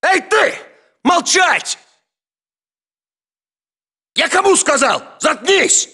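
An actor playing a harsh, barking Russian soldier in video game battle chatter. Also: male voice, very high voice, sing-song, very slow, bright, forceful tone, exaggerated voice.

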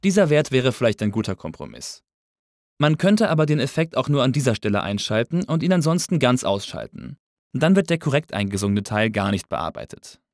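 A man narrating a scripted audio engineering tutorial in a clear, orderly, explanatory way. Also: mid-range voice, very animated, a little fast, no room sound, studio quality. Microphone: close studio voice-over mic.

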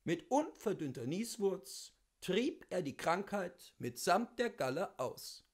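A man giving an expressive, theatrical reading of a literary memoir, with deliberate phrasing and emphatic pauses. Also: sits high. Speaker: reader of literature.

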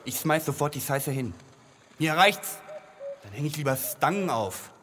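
Heaven's disgruntled boss in a radio drama. He is touchy and weary, grumbling in a fairly high male voice over faint noise. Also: lively intonation, measured pace, fairly bright tone. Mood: fed up. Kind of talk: German radio play acting.

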